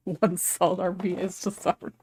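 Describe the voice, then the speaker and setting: A woman's voice: fairly low pitch, level tone, measured pace, darkish tone, husky. A woman hosting a casual panel chat, giggly and conversational, on a close microphone. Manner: giggly, laughs often while talking. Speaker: podcast host.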